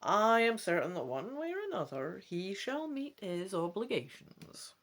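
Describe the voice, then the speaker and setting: A woman's voice: medium pitch, very animated, unhurried. Lady doing a mysterious old shop woman, fantasy gamebook, confident n knowing, very up and down. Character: mysterious, confident old woman shopkeeper selling magical wares.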